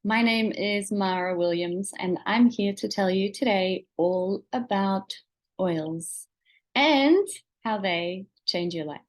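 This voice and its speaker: A woman's voice: mid-range voice, slow pace. A woman from Germany, a native German speaker with a slight Australian accent, presenting a webinar in an encouraging, explanatory way and addressing the listener directly. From Germany, born in Austria. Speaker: webinar presenter, wellness seller.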